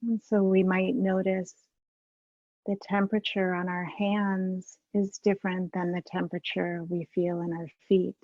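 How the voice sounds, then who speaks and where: A woman leading a guided meditation as a teacher, unhurried and leaving long pauses. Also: lowish voice, flat delivery, dry recording, highs cut off.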